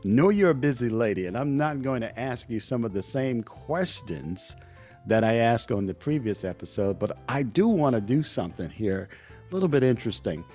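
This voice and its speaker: A man speaks as a podcast host in a conversational, complimentary way. His voice sits at a medium pitch, and faint music plays behind him. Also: animated, normal speed, a little mellow.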